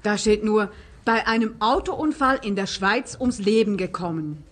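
A woman's voice: medium pitch, a little slow, natural voice. A woman playing a brash, suspicious young internet café worker in a radio play, snappy and cocksure. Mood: absorbed. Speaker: supporting actor.

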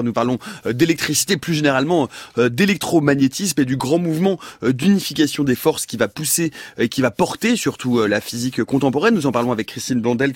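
A man hosting a radio science discussion, pausing often with fillers as he frames his questions. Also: medium pitch, no room sound. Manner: hesitant, many fillers and restarts. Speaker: radio science show host. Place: radio studio.

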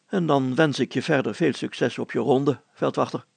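A man's voice: medium pitch, moderate pace, vocal fry, darkish tone, slightly rough, dry recording. A man voicing a stately, suspicious village mayor in a storybook, pompous and curt. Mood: haughty, suspicious. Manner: pompous, clipped.

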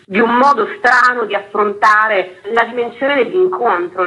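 A woman's voice: mid-range voice, fairly bright tone, low-quality recording. A woman theatre director speaking earnestly and at length in a reflective radio interview. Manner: earnest, wordy, abstract.

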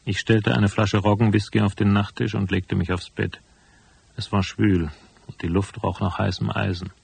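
Gravelly, low-voiced hard-boiled private eye. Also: male voice, flat delivery, moderate pace, a little mellow, full character voice. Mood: dry, reflective. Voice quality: gravelly, husky. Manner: dry, laconic. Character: hard-boiled male private detective, dry and probing. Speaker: first-person narrator and lead character. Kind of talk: noir radio drama acting and narration.

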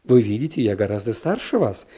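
A man's voice: lowish voice, very animated, fairly quick, dark tone, breathy edge. A man playing a playful, candid princess of twenty-one in a classic story reading, teasing and self-assured.

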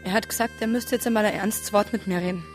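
An actress with a Bavarian accent playing a troubled wife confessing a hard youth in a naturalistic radio drama, plain-spoken and colloquial. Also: female voice, medium pitch, fairly even intonation, fast talker, soft background music, close to an everyday voice. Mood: bitter recollection.

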